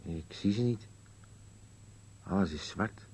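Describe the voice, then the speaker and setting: A man's voice: low voice, slight background noise. A man playing a bleak, stubborn old husband in an absurdist radio play, answering tersely and with resignation. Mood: resigned, grim. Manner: terse, flat denials, slow resigned answers.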